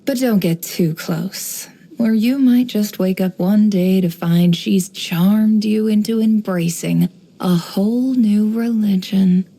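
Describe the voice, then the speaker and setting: An actress voicing a sly, composed young woman, an intelligence broker in a video game, speaking in a measured, coolly knowing, teasing way. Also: mid-range voice, dark tone. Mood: sardonic. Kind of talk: video game character voice lines.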